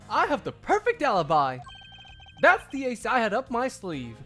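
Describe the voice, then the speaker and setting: A man's voice: very high voice, wildly swooping pitch, normal speed, pushed and bright, soft background music, pitched above the performer's own voice, exaggerated voice. A man voicing a smug, taunting male rival prosecutor with a theatrical, mocking delivery in a game fandub.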